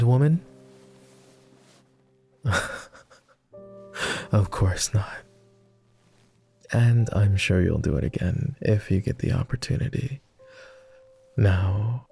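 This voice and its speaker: A man playing a tender, easily flustered vampire lord with formal phrasing and a soft, gravelly, breathy voice, in an intimate ASMR boyfriend roleplay. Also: on the low side, exaggerated voice. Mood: flustered, teasing, then fond. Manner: hesitant, formal old-fashioned phrasing.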